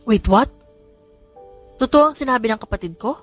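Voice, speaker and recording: A woman's voice: on the high side, animated, normal speed, soft background music. Woman reading as an earnest, lovestruck young man. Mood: surprised.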